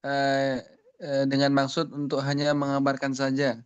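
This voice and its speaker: A man student answering his teacher in an online class, halting and polite with hesitations. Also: medium pitch, completely flat delivery, smooth, no echo, muffled recording.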